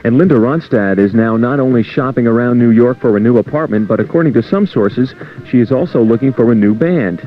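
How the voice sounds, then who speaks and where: A man's voice: medium pitch, a little fast. A man reading a scripted music news report for radio as a reporter. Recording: dull lo-fi radio aircheck tape.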